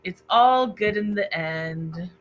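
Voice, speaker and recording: A woman hosting a casual book livestream, excitable and chatty as she reacts to viewer comments. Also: mid-range voice, slow. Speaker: book discussion livestream host.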